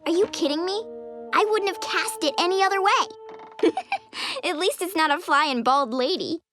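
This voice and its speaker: A very high, lively girl's voice, brash and tough in a cartoon way. She speaks at a slow, swaggering pace, with music clearly playing behind her. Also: full character voice. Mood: brash, gleeful. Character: brash tough young girl in an animated series.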